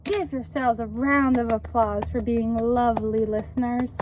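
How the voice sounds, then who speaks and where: A woman reading a children's picture book aloud in an expressive, encouraging, teacherly way, pausing to put questions to young listeners. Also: on the high side, slight background noise.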